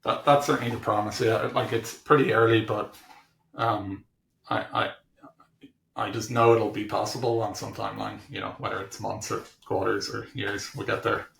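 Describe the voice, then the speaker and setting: A man answers interview questions in a low voice with a strong gravelly creak. He explains casually and haltingly, restarting and thinking aloud. The room around him rings with noticeable echo. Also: little pitch movement, fairly bright tone, a little hiss or hum. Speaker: technology executive being interviewed. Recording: roomy, echoing room sound.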